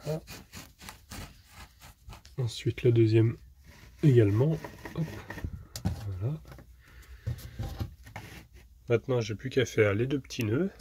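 A man's voice: mid-range voice, a little slow, pauses often, dark tone, a little hiss or hum. A man vlogging casually inside a camper van, explaining a repair step by step with many restarts. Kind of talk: casual vlog narration.

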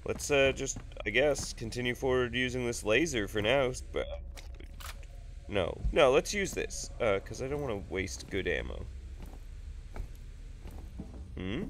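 A man's voice: mid-range voice, sing-song, normal speed, slight background noise. A man in his thirties with a sick, nasal voice from blocked sinuses, rambling and joking through casual game commentary at a home desk. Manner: rambling, jokey, swears freely. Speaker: gaming YouTuber. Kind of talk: casual let's play commentary.

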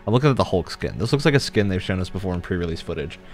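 Deep-voiced man chatting on a game stream. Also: lively intonation, very fast, a little mellow. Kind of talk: casual co-commentary on a video game stream.

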